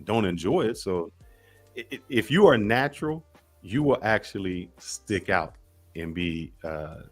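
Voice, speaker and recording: A male host runs a live call-in chat with a playful, teasing edge and says things bluntly. His voice swings widely in pitch as he talks. Soft music plays faintly underneath. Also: expressive, a little slow, breathy edge.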